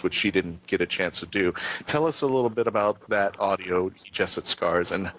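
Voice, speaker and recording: Low-voiced man hosting, slight background noise. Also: fairly bright tone, poor audio. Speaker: radio interview host. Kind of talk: casual radio interview.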